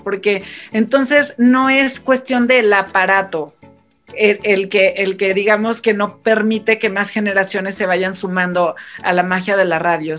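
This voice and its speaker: A woman's voice: medium pitch, a bit bright. A woman with a Mexican accent talking effusively and emphatically as a guest in a conversational radio panel.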